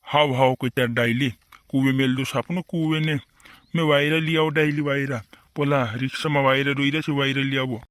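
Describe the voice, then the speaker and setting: Comedy cartoon villager, played by a man: insistent, repetitive, little pitch movement, rural western Madhya Pradesh accent, dry close recording. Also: fairly even intonation, moderate pace, a bit bright, smooth, dry recording, close to an everyday voice. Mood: grumbling.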